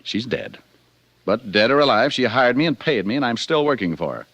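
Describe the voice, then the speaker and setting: An actor playing a slick, sharp-tongued male private detective with a glib, sardonic manner in 1940s radio drama style. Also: medium pitch, big pitch swings, a little fast, no room sound. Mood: dry, mocking.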